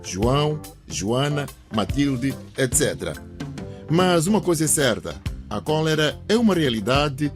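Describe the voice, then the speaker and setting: A man's voice: a little high, sing-song, a little slow. A man presenting scripted lines on radio. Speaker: radio drama cast member and presenter. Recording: music behind the voice.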